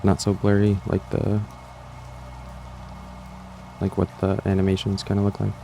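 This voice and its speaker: A man's voice: low voice, monotone, unhurried, vocal fry, mellow, slight background noise, poor audio. A man introducing his own audio story to viewers in a casual, rambling, offhand way, repeating himself and trailing off. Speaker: creator and host of an audiobook channel.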